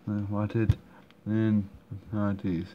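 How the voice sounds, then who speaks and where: A man commenting on a game he is playing, halting and full of fillers and restarts, recorded at home. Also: fairly low pitch, flat delivery. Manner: hesitant, many fillers and restarts. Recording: dull muffled recording, faint room noise.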